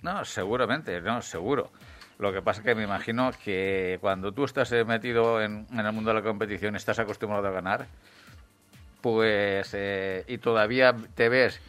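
A man hosting a casual cycling podcast, reasoning aloud with many halting restarts. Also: fairly low pitch, a bit bright, faint music behind. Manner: hesitant, full of restarts and fillers while building an argument. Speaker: podcast host. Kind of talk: casual chat about cycling news.

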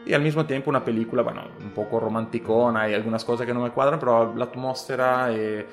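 A man's voice: medium pitch, fairly even intonation, quick pace, music in the background. A man from Italy speaking Spanish with an Italian accent, answering interview questions hesitantly with many fillers and restarts. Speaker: guest, social media scientist.

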